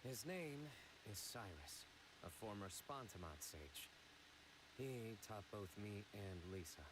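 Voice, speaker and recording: A man playing a composed, dry-humored investigator in a game, speaking in a measured, deadpan manner. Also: lowish voice, noisy background.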